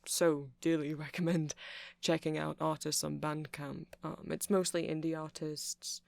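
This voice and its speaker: A man playing a nerdy, chatty vampire in an ASMR roleplay, rambling softly, earnestly and hesitantly about his interests. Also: a little high, flat delivery, measured pace, creaky, no echo.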